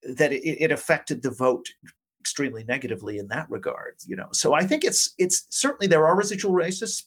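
A man speaking as an academic guest in an interview, explaining things in a measured, hedging, conversational way. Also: a little high, fairly bright tone. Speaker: academic guest, politics professor.

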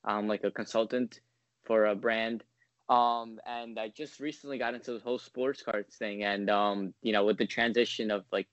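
A man's voice: fairly low pitch, fairly bright tone, no echo. Young man, casual interview guest, rambling with fillers, dull lo-fi dry sound. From United States. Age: 20s. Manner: rambling, lots of ums and likes.

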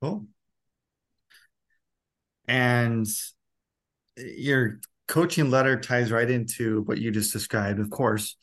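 A man's voice: medium pitch, fairly even intonation, takes it slowly, long pauses, a bit bright. Male interviewer, mid-range voice, casual and conversational. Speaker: interviewer and host.

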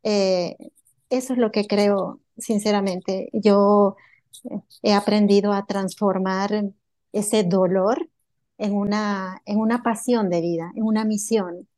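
Earnest woman with a mellow voice. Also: medium pitch, steady tone, takes it slowly. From Bolivia. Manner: earnest, many filler sounds. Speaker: entrepreneur guest. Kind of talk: interview answers.

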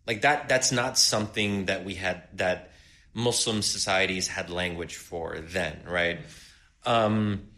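A man's voice: low voice, slow pace, sharp tone. A man co-hosting a podcast, thinking aloud in a casual intellectual discussion, with frequent fillers and light laughs. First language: English. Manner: laughs lightly while making points. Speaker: podcast co-host.

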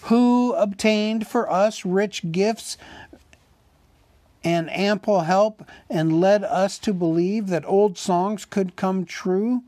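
A man's voice: a little high, expressive, unhurried, darkish tone, no room sound. A man voicing a pompous, wily old town master who argues his case like an orator in a storybook. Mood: indignant. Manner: oratorical, rhetorical questions.

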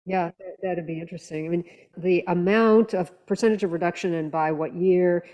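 A woman's voice: lowish voice, brisk, warm tone, highs cut off. A woman speaking as a podcast panelist in conversational discussion, with thoughtful, hesitant phrasing.

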